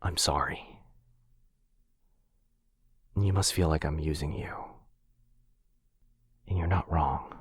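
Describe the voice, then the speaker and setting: A man playing an apologetic, protective vampire lord in an intimate boyfriend ASMR roleplay, with a breathy, husky voice that often drops to a whisper and a hesitant, tender delivery. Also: a bass voice, slow pace, long pauses, no room sound, heavily acted. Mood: remorseful, earnest. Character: apologetic, protective male vampire lord, soft-spoken and earnest.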